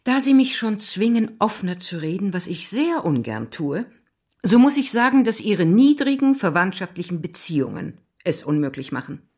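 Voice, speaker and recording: An actress playing a proud, cold upper-class mother in a radio drama, formal and controlled, with a husky voice. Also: medium pitch, big pitch swings, moderate pace, a little mellow. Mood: cold refusal.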